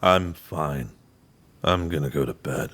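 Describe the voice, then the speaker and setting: A man playing an awkward, gruff, hesitant man in an audio drama, with ambient noise behind him. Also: rumbling low. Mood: weary. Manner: hesitant, halting. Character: awkward, gruff, tongue-tied man, shy with his crush.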